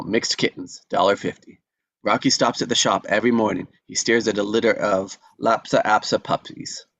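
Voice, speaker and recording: A man's voice: on the low side, fairly bright tone, no echo. A man reading out stage directions as narrator in a scripted table read.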